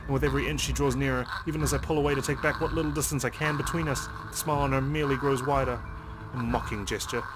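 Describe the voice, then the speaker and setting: A man's voice: medium pitch, steady tone, fast talker, noisy background. A man hosting a let's play, reading game text aloud dramatically and swearing as he reacts.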